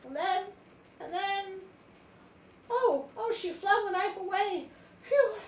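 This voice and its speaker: A woman hosting a comedic storybook reading, theatrical and wry, with expressive asides. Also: very high-pitched, takes it slowly. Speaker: storyteller, show host. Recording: roomy echo, faint background noise.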